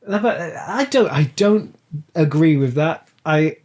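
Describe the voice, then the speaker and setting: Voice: mid-range voice, lively intonation, measured pace, a little mellow. A person of twenty-seven chatting casually and bluntly as a guest on a podcast. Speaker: podcast guest, audio drama writer and producer. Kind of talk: casual chat.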